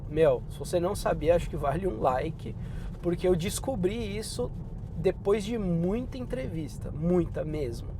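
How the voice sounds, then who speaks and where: A Brazilian man with a Brazilian Portuguese accent giving job-hunting tips in a relaxed, chatty advice vlog. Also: sits high, a little hiss or hum. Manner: relaxed and chatty, often drops in "cara" and "né".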